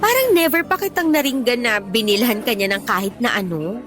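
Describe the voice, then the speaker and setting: An actress playing a blunt, protective young Filipino best friend in a radio drama, scolding emphatically in slangy speech. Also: female voice, a little high, animated, a little fast, over music, close to an everyday voice. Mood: blunt, indignant.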